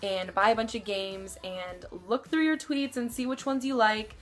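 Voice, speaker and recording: A woman's voice: fairly even intonation, moderate pace. Chatty young woman vlogging with fillers. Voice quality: somewhat deep voice. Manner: chatty, rambling, many fillers. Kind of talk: casual advice vlog to camera.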